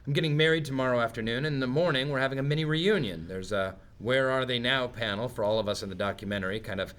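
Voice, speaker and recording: An actor at a comedy table read playing an earnest, self-important office manager with a formal, blunt way of talking. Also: male voice, mid-range voice, fast talker, fairly bright tone, lightly acted. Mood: proud. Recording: faint noise, live room table read.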